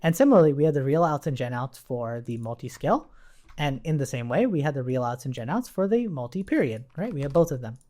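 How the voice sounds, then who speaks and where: Male coding tutor for an informal screencast, animated intonation, medium pitch, explanatory step-by-step delivery. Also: fast talker. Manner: explanatory, step by step, checks in with rhetorical questions. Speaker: programming instructor.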